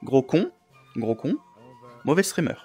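A man streaming a VR roleplay, joking loudly and laughing often. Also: mid-range voice, sing-song, unhurried, breathy edge, faint music behind. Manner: joking, loud, bursts of laughter. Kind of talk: playful in-character roleplay banter. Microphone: VR headset mic.

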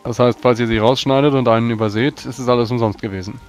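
Loyal adventurer played by a man, middling pitch. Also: steady tone, fast, faint music behind, natural voice. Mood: concerned. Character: loyal, reassuring male adventurer, formal. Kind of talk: in-character tabletop roleplay.

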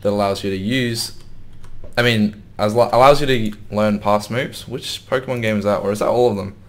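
A man's voice: lowish voice, fairly even intonation, normal speed. A young man with a slightly sore voice, casually commenting on his gameplay and thinking aloud as he plays. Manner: thinks aloud, wondering and muttering to himself. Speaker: gaming YouTuber.

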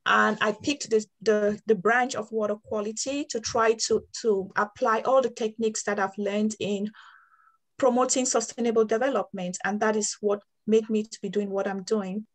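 A woman's voice: mid-range voice, fairly even intonation, moderate pace. A woman from Cameroon, a water quality programs coordinator, speaking earnestly over a video call in a breakout discussion, with small restarts.

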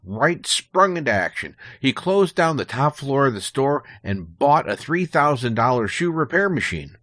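A man's voice: mid-range voice, very animated, normal speed, a bit bright, dry recording, studio quality. An American man speaking as a podcast guest, telling prepared, informative stories in a conversational way.

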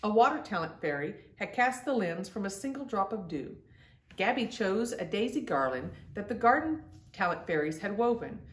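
A woman's voice: medium pitch, very animated, moderate pace. Middle-aged woman reading aloud, roomy sound. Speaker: storytime host reading aloud. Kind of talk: read-aloud children's storytelling. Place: indoor room at home. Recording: roomy, distant-mic home recording.

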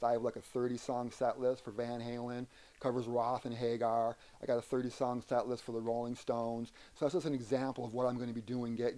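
A middle-aged or older man with an American accent answering interview questions earnestly, often repeating words haltingly. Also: mid-range voice, mellow. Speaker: interview guest, aspiring rock singer. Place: wooden sunroom indoors.

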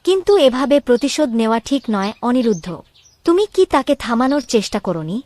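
A woman's voice: on the high side, big pitch swings, fairly quick, a little mellow. A woman playing a caring, anxious young royal woman in a cartoon drama, speaking earnestly and expressively. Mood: worried. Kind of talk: dramatic Bengali cartoon voice acting.

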